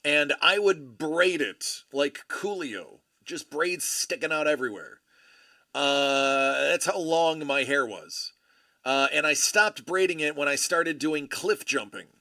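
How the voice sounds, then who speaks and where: A man's voice: fairly high pitch, lively intonation, slow pace, bright, forceful tone, dry recording, clean studio sound. A man hosting a live card break stream, chatting casually and telling rambling, joking stories. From Buffalo area, USA.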